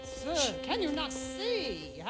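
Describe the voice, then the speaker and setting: High-pitched, stately suffragist orator. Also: female voice, wildly swooping pitch, over loud music, heavily acted. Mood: defiant. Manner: deliberate, oratorical. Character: stately mature woman, unflinching suffragist leader. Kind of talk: video game cutscene acting.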